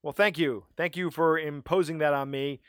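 A man from Maryland co-hosting a casual podcast, ranting in an animated way with frequent laughs, his voice starting to go. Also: a little high, moderate pace, clear, resonant tone, no room sound, clean studio sound. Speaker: podcast co-host.

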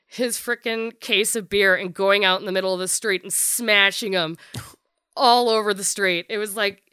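A woman in her thirties with a deep voice, talking frankly and bluntly as a podcast guest, swearing freely and using lots of fillers. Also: steady tone, moderate pace, pushed and bright, smooth, no echo, clean studio sound. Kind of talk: casual candid conversation.

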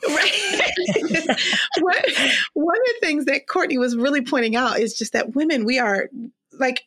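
A woman co-hosting a faith-based talk show, speaking in animated, quick conversational bursts. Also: high voice. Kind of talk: casual chat.

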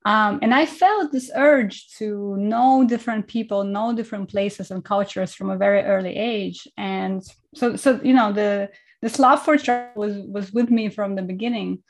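A woman's voice: mid-range voice, normal speed. A woman in her thirties, from Kazakhstan and raised in Estonia, speaking reflectively as a podcast guest over a video call. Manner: reflective, conversational, with frequent fillers. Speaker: podcast guest, travel photographer.

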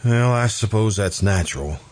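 An actor playing a troubled, devoted suitor in an old-time radio drama, speaking in an earnest, uneasy, remonstrating way. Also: male voice, on the low side, animated, normal speed, a little mellow, lightly acted. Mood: gentle, uneasy. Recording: noisy recording with background hiss.

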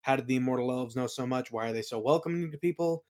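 A man's voice: mid-range voice, fast talker, darkish tone. A man chatting casually as an interview guest, telling colloquial, rambling stories as a game master. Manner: rambling, colloquial, acts out quoted remarks. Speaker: guest, game master and audio play creator. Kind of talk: casual chat, anecdotes.

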